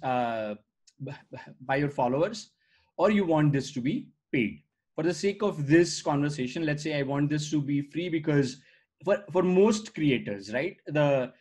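A man in his thirties speaks with a slightly raised pitch, talking through a screen-shared demo on a video call. He keeps it conversational, full of "uh" and "right?" tags. His is Gujarati, and it shows. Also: darkish tone, husky. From India. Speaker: startup founder giving a product demo. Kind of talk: screen-share product walkthrough. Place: indoor room on a video call. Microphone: video call webcam mic.

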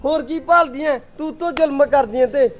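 A man's voice: very high voice, a little fast, dull audio. A man playing a young husband urging his mother to stop mistreating his wife. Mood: reproachful. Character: young husband and son, peacemaker.

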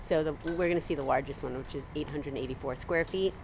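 A woman speaking quietly, a little off-mic, as she walks a buyer around an apartment building outside. She lists details plainly and informatively. Outdoor ambience and traffic noise are clearly heard. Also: sits low, fast talker. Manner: soft-spoken, informative. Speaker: real estate agent. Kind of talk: property walkthrough.

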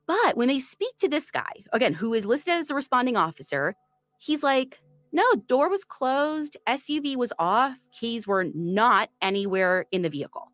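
A woman's voice: a little high, animated, moderate pace, a bit bright, no echo. A woman hosting a true crime podcast, retelling a case in a chatty, storytelling way. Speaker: podcast host.

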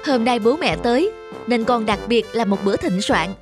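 Woman voicing a high-pitched earnest young man. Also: expressive, normal speed, close to an everyday voice. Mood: warm, earnest. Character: earnest young man, spendthrift son learning independence. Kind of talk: animated moral-story dialogue. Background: background music.

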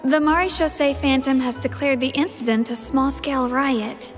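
A woman's voice: sits high, steady tone, normal speed, faint music behind, lightly acted. A woman playing a young female palace messenger in a video game, speaking in a polite, composed and formal manner. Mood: polite, friendly.

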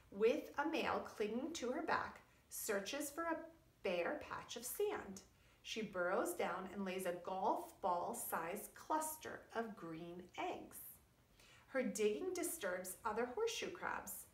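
A middle-aged woman, a preschool teacher, explaining and reading aloud to young children in a deliberate, emphatic, teacherly way. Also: fairly high pitch. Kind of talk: children's science lesson and read-aloud story. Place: indoors in a wood-panelled room at home or school. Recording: echoey room.

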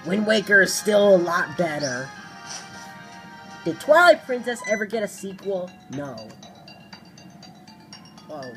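A woman's voice: mid-range voice, very animated, slow, long pauses, fairly bright tone. A woman doing playful read-aloud video game commentary in a theatrical, sarcastic manner. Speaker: let's play host. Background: video game music.

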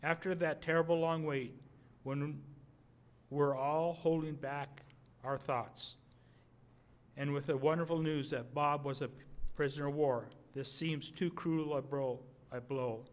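An older man reading a wartime letter aloud at a podium microphone, measured and a little halting. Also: fairly high pitch, fairly even intonation. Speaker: readers theater reader. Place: museum gallery, indoors.

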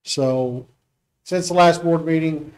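A man's voice: fairly high pitch, takes it slowly. A man presenting a construction update as a school district official. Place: school board meeting room.

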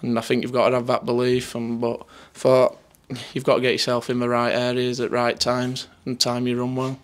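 Male, twenties, Northern English footballer in post-match interview mode. Very monotone delivery, matter-of-fact, mid-pitched. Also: moderate pace. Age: 20s. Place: indoors in front of a sponsor board. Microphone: handheld interview microphone held near him.

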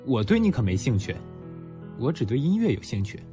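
A man's voice: medium pitch, big pitch swings, a little fast, dark tone, natural voice. An actor playing a young male student in an audio drama, narrating reflectively as well as speaking in dialogue. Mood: boastful teasing. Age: young adult. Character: young male student, nostalgic, proud, teasing. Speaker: lead actor and first-person narrator. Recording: music behind the voice.

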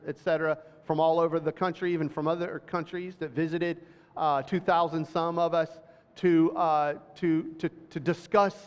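A middle-aged man preaching to a congregation, reading scripture slowly and then talking loosely with fillers and restarts. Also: a little high. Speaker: pastor. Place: church hall with audience. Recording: dull, reverberant hall recording.

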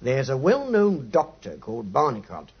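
A man's voice: medium pitch, huge pitch swings, moderate pace, husky, heavily acted. A man playing a chatty, slightly sheepish English policeman with a colloquial manner in a 1950s radio drama. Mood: relishing the tale. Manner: colloquial, hesitant, chuckling storyteller. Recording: dull 1950s radio recording.

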